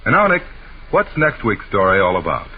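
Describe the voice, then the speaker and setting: A man's voice: on the low side, huge pitch swings, fairly quick, husky. An American man announcing a radio serial in a formal, polished 1940s style. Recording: scratchy, tinny 1940s radio recording.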